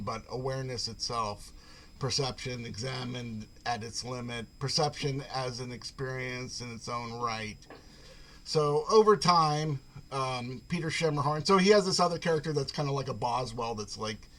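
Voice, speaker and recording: A man giving an informal reading of his own literary short fiction on a video call, hesitant in his asides and measured when he reads. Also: mid-range voice, a bit bright, low-quality recording. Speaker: writer reading own short fiction at an online poetry event.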